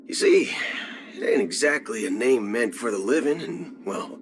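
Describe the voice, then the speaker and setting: An actor playing a cocky, folksy cyborg cowboy outlaw in a video game, with a Southern American drawl. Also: male voice, mid-range voice, expressive, moderate pace, background noise, close to an everyday voice. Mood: cocky, menacing. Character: swaggering cyborg cowboy gunslinger outlaw, adult male. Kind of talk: video game character voice lines. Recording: music under the voice. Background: background music.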